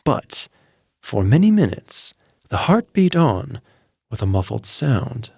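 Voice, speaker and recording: Man playing a slow, theatrical, sinister madman. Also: medium pitch, sing-song, creaky, a little mellow, breathy edge, no room sound, clean studio sound, heavily acted. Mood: gloating, insistent. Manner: theatrical, deliberate, emphatic repetitions. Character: nervous, gleefully sinister madman confessing a murder. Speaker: narrator. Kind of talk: dramatic first-person horror audiobook narration.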